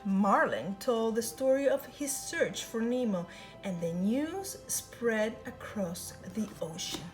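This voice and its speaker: A woman reads a children's picture book aloud, her higher voice swooping up and down in a lively sing-song. She takes her time over every line, drawing out the telling. Soft music plays faintly behind her. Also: slow pace. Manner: expressive, sing-song storytelling. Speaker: storybook reader.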